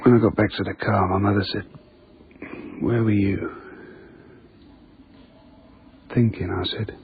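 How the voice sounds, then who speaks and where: A male actor playing a quiet, pensive young man in a radio drama, kindly and matter-of-fact, with an understated delivery. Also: lowish voice, fairly even intonation, moderate pace, lightly acted. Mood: reflective narration. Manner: understated, thoughtful. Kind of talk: naturalistic radio drama acting. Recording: muffled, phone-like band, faint background noise.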